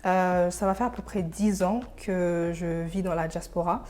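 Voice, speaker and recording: A young woman from Senegal, an interview guest, talking casually and pausing mid-thought. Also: fairly low pitch, steady tone, a little mellow. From Senegal, of Burkinabe descent.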